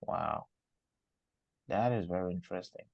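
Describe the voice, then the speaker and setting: A man's voice: deep voice, unhurried, gravelly, dry recording, dull audio. A man hosting an interview, asking long questions while thinking aloud and affirming the guest. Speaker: host.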